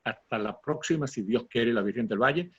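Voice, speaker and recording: A man with a husky voice, hosting a conversational interview with courteous, formal phrasing. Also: mid-range voice, animated, fairly quick. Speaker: interview host. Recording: slightly dull, lo-fi sound.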